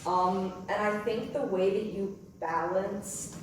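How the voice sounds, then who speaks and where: Female audience member, mid pitch, animated and informal, recorded from far off. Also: slow pace, a little hiss or hum. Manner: animated storytelling, quoting own thoughts. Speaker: audience member giving feedback. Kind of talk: casual spontaneous feedback. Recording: very echoey room, distant mic.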